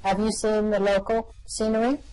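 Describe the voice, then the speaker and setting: A performer playing a calm, bodiless custodian of a machine with a slow, deadpan, matter-of-fact delivery in an amateur radio-play reading. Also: mid-range voice, smooth, close to an everyday voice, poor audio.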